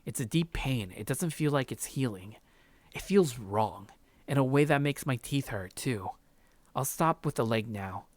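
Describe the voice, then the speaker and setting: A man's voice: mid-range voice, animated, a little slow, no room sound. A man hosting a let's play, reading a visual novel's first-person narration aloud.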